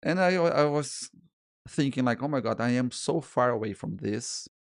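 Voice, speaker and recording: A man in his forties with a Portuguese-speaking accent talks through his second language, stopping, restarting and repeating words as he goes. His pitch sits in an ordinary middle range. The sound is close and dry, with no room around it. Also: lively intonation, no room sound. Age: 40s. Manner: halting, many restarts and repeated words, frequent "okay?" and "guys". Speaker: freelance illustrator and podcast host. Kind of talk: casual solo talk to camera.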